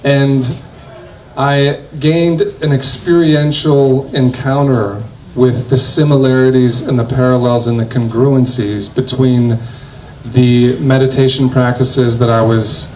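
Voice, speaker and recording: A man in his forties speaking as a panel guest in a crowded covered pavilion, measured and reflective, into a stand mic. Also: mid-range voice, steady tone, darkish tone, a little hiss or hum, rough recording. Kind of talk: panel discussion.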